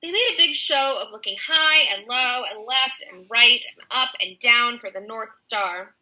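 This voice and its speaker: A woman narrating a children's book aloud with an expressive storyteller's delivery. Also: a little high, unhurried, poor audio.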